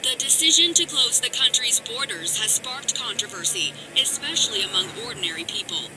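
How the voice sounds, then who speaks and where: An actress playing a composed news announcer in a video game, reading a scripted bulletin in a formal, measured manner. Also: female voice, on the high side, background noise, lightly acted. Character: female news announcer, composed and formal, reporting grim politics.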